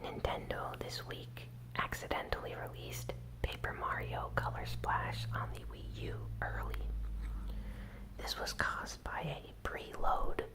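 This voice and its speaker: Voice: measured pace, low-quality recording. Whispered ASMR gaming news host.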